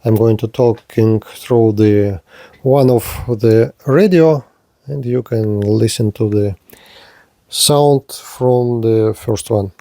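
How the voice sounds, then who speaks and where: A man giving a hands-on demonstration of gear as a product reviewer, speaking in short, halting phrases. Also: lowish voice, dark tone, no echo.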